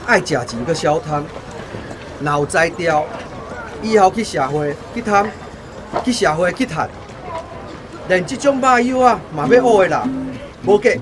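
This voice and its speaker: Brash veteran soldier, Taiwanese accent, higher-pitched and lively, preaching street wisdom in a radio play. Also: male voice. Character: brash, wily veteran army cook's buyer, man. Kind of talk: Taiwanese radio drama acting.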